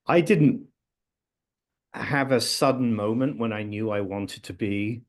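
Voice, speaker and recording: An older man from England, a music theory professor recalling his career on a video call from his office, with relaxed storytelling and joking asides. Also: on the low side. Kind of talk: casual interview. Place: office, indoors. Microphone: video call, low-angle webcam.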